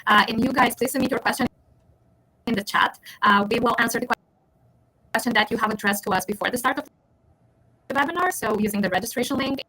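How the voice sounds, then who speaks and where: A woman's voice: a little high, fast talker, pauses often, sharp tone, breathy edge, poor audio. A woman education advisor hosting a live webinar, friendly and talking with many fillers and restarts.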